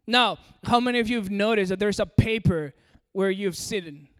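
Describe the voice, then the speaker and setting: A man from Peru with an American-sounding accent preaches in a large hall. His voice sits high and rings with a long reverb. He talks directly to the crowd and coaxes them along. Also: normal speed, a bit bright. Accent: American-sounding English. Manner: direct and coaxing, addresses the audience. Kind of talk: sermon.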